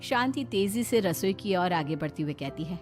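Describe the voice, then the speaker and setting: A woman's voice: fairly low pitch, lively intonation, moderate pace, darkish tone. A woman narrating a scripted moral story for a cartoon. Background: music.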